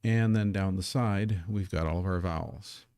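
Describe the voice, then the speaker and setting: Deep gravelly man teaching live. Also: normal speed, darkish tone, dry recording, studio quality. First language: English. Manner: casual, self-deprecating, repeats words while setting up. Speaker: Korean language teacher. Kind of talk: live-streamed language lesson.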